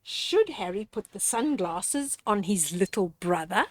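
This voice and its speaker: An older woman reads a children's storybook aloud with very lively, swooping intonation. She takes her time, pausing deliberately and speaking straight to the young listener in a gently instructive way. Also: mid-range voice, a little hiss or hum. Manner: expressive, with deliberate pauses and dramatic gasps.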